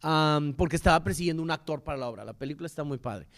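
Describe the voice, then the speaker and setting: A man's voice: fairly high pitch, fast talker, a bit bright. A man with a Mexican accent giving an informal history lecture, rambling and often repeating or correcting his words.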